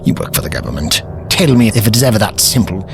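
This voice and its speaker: Guy acting eccentric older time traveller, pompous and wordy, deep-ish voice that swoops around, music behind. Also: big pitch swings, fast talker, creaky. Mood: brisk. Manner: pompous, precise, formal diction. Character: eccentric older alien time traveller, self-assured, erudite. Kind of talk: audio drama acting.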